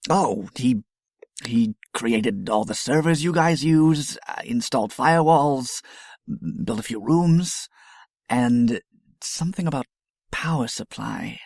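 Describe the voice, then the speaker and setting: A man playing a polite, hesitant scientist who speaks haltingly and deferentially in an amateur audio drama. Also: medium pitch, animated, a little mellow, no echo, studio quality. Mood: hesitant, puzzled. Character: hesitant, polite male scientist, software engineer.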